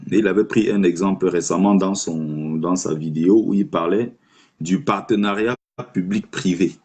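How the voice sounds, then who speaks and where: Man, livestream host, low voice, husky, argumentative, talks to the viewer. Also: darkish tone. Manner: argumentative, rhetorical, addresses listener directly. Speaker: livestream host taking callers. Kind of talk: opinionated live-stream commentary.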